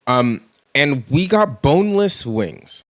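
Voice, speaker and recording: A man's voice: medium pitch, big pitch swings, slow, fairly bright tone. A man co-hosting a podcast, telling stories in casual conversation. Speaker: podcast co-host. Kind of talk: casual chat.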